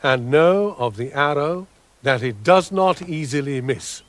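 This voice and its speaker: A man's voice: fairly high pitch, expressive, measured pace, fairly bright tone, no echo. A man playing a grand, benevolent old Father Christmas in a radio drama, with a British accent and a solemn, declamatory manner. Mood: solemn kindness. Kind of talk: dramatic radio play acting.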